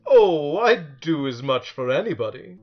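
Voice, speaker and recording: A man's voice: fairly high pitch, very animated, unhurried, lo-fi sound, soft background music. A man voicing a small furry demon from a storybook, a boastful but whiny fake monster with a theatrical, exclamatory manner.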